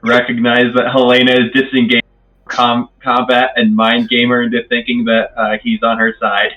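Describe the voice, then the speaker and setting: A man's voice: medium pitch, completely flat delivery, moderate pace, pushed and bright, muffled recording. A man chatting casually as a player in a tabletop roleplaying game, describing what his character does.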